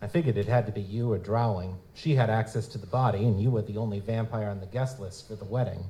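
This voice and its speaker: A man's voice: fairly low pitch, fast talker, mellow, natural voice, low-quality recording. A man playing a weary, wisecracking private eye in a noir audio drama, tough, slangy and sardonic. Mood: skeptical. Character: street-level green goblin-like male private detective, world-weary, wisecracking, wary. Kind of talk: hardboiled noir audio drama narration and dialogue. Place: live stage performance at a convention.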